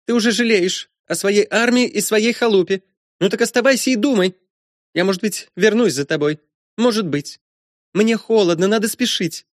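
A man's voice: high-pitched, normal speed, dry recording, clean studio sound, voice pushed up, natural voice. A man voicing a young ghost girl, desperate, shivering and reproachful, in a plaintive, insistent manner for an audiobook. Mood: bitter, scornful.

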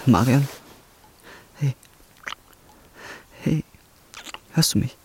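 Betrayed lover, creaky voice, anguished, pleading, radio drama, faint noise. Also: male voice, medium pitch, extremely slow, dark tone.

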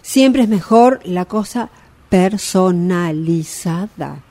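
Radio play: actress as self-assured Argentine woman fortune teller, slippery and humoring, slow delivery with big swings in intonation. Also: fairly low pitch, lively intonation, warm tone, exaggerated voice. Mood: placating, assured. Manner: drawn-out reactions, theatrical, placating. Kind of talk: radio drama acting.

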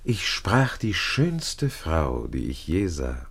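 An actor playing a smug, suave gentleman schemer in a classic radio drama, taunting and theatrical, with a husky voice. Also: extremely low pitch, huge pitch swings, takes it slowly, full character voice. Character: smug scheming Italian gentleman boasting of seduction.

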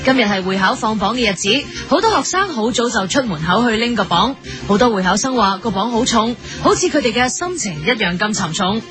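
Woman playing a higher-pitched Cantonese news anchor. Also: lively intonation, fairly quick, fairly bright tone, soft background music. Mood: formal, earnest. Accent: Hong Kong Cantonese. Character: earnest female TV news anchor. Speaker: newsreader. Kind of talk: parody scripted news reading.

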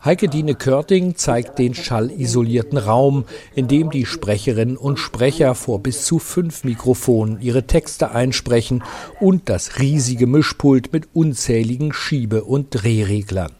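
A male reporter narrates a scripted radio feature. His voice is medium in pitch, with a soft, mellow tone. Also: measured pace.

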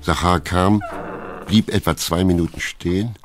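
A man's voice: low-pitched, steady tone, a little slow. A man narrating a literary radio play from a script as a composed storyteller. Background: music.

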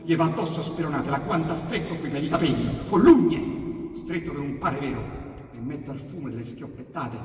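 A man with a Roman accent reciting dialect poetry as theatrical storytelling, emphatic and colloquial, with dramatic pauses. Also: a little high, lively intonation, dark tone. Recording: very echoey hall from far off, faint noise.

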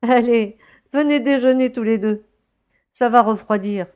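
A woman playing a kindly, homely, gently teasing farm grandmother in an audio drama. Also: a little high, a little slow, close to an everyday voice. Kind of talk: French-language audio drama acting.

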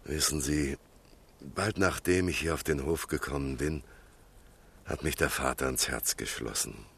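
A garrulous old village pastor in a radio drama. The voice is exceptionally deep and husky. He talks with homely fondness, like a man given to reminiscing. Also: unhurried, fairly bright tone, exaggerated voice. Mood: fond, nostalgic. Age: older. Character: kindly, garrulous, ailing old country pastor, fond reminiscer. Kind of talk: German literary radio drama dialogue.

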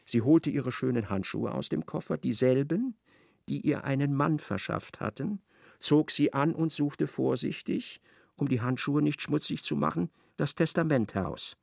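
A man's voice: on the high side, normal speed, vocal fry, a little mellow, no echo, studio quality. A man narrating a literary radio drama. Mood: measured.

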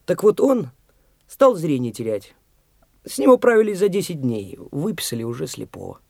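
A man's voice: on the high side, big pitch swings, normal speed, vocal fry, a little mellow, husky, dry recording, exaggerated voice. An actor playing Mitya, a brash, pushy young man who talks in a taunting, blunt way, in a studio radio play.

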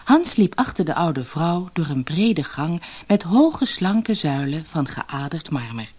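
A woman narrating a fairy tale for an audiobook in an expressive, dramatic, scripted storytelling style. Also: low voice, slow, breathy edge.

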